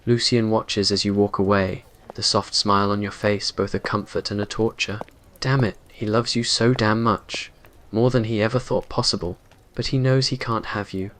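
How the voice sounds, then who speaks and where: A man's voice: on the low side, steady tone, moderate pace, hardly any pauses, a bit bright. A man reading scripted romantic roleplay narration in an ASMR story style.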